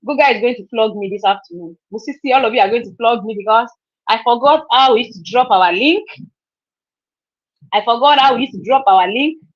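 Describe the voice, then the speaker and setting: A woman's voice: fairly high pitch, a little fast. A woman, chatting casually on a live stream, repeating herself and laughing often. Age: 30s. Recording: dull lo-fi stream audio.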